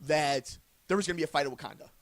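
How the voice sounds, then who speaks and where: A young man co-hosting a casual podcast chat, excitable, hedging and restarting his sentences. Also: a little high, very fast, no echo. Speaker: podcast co-host.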